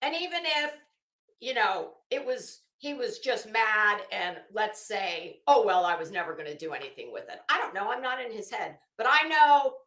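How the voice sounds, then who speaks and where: A woman's voice: medium pitch, animated, normal speed, fairly bright tone, echoey room. A woman giving casual, opinionated commentary in a rambling, emphatic and blunt way, swearing freely. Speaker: online commentary host.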